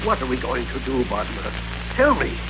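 An actor playing a flustered, blustering office boss in an old-time radio drama, sputtering and fussy in an emphatic way. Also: male voice, mid-range voice, huge pitch swings, moderate pace. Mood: alarmed, demanding. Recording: noisy, dull old radio recording.

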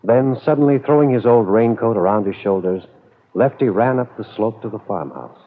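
A man's voice: lowish voice, expressive, moderate pace, a little hiss or hum. A man reading scripted narration for an old-time radio drama serial. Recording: dull, narrow vintage radio recording.

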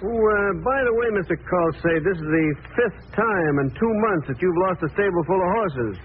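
A man acting in a 1940s radio drama as a polite insurance official, formal, measured and dryly suspicious. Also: high-pitched, animated. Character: polite, sceptical adult male insurance official. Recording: tinny, hissy old radio recording.

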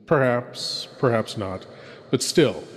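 A man hosting a horror show in a spooky, theatrical monologue, measured and sinister. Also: medium pitch, expressive. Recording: long reverb with music behind.

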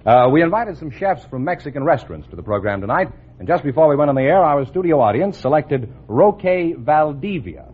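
Animated, high-pitched male radio announcer. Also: fairly quick, few pauses, breathy edge. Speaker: quiz show announcer. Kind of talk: old-time radio announcing. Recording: tinny, narrow vintage radio recording.

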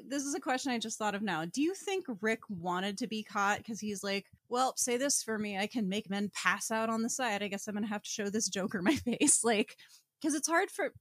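A woman's voice: a little high, no room sound, clean studio sound. A woman co-hosting a podcast in casual chat, with wry jokes and mock-solemn asides. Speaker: podcast co-host.

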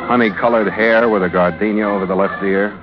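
A man's voice: on the low side, fairly even intonation, a little fast, natural voice. A man with an American accent playing a tough, suspicious ship's skipper, narrating a mystery in a terse, wisecracking radio-drama style. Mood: hard-boiled narrating. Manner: terse, wisecracking, hard-bitten. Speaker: lead actor and narrator. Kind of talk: hardboiled 1940s-50s American radio acting and narration. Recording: muffled old radio recording with music behind.

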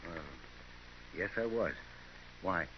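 Low-voiced, wary saloon hanger-on. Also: male voice, takes it slowly. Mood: wary, needling. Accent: American. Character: wary saloon hanger-on, cowed, unwilling to fight. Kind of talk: 1950s radio Western acting. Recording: muffled, noisy vintage radio recording.

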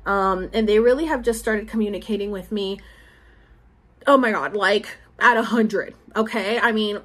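A woman's voice: mid-range voice, moderate pace. A young woman from Puerto Rico giving a rambling, filler-heavy monologue update, repeating herself for emphasis. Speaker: spiritual vlogger.

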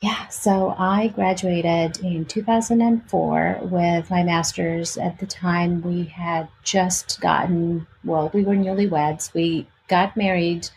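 Low-voiced woman, slight Albanian-Greek accent, some noise. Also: steady tone, slow pace, hardly any pauses, a little mellow, poor audio. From Albania and Greece. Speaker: interview guest, architect. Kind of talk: casual personal storytelling.

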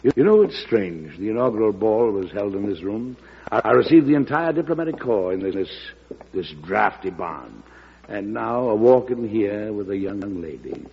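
A muffled old radio recording of a weary American president, a middle-aged man with a low, husky voice. He is courtly and avuncular, gently hesitant, with lively swings of pitch in classic radio drama style. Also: moderate pace, heavily acted. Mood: wistful, tender.

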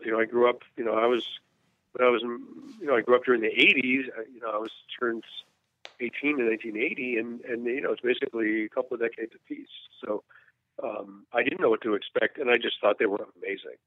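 A man's voice: medium pitch, steady tone, quick pace, no echo. A man in his fifties from the United States, a war journalist telling his story in an interview, frank and earnest, often restarting his sentences. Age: 50s. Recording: tinny phone-line sound, dry.

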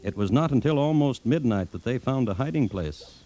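A man narrating an old radio western in a measured, dramatic, folksy storytelling style. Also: mid-range voice, lively intonation, mellow, a little hiss or hum, close to an everyday voice. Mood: solemn.

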